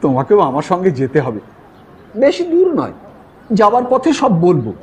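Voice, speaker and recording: Guy doing a bossy impatient buddy in a story, high swoopy voice, exclaims a lot. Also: huge pitch swings, normal speed, a little mellow. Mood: insistent. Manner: exclamatory, insistent. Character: forceful, impatient young man, bossy old friend. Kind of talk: audio storybook character acting.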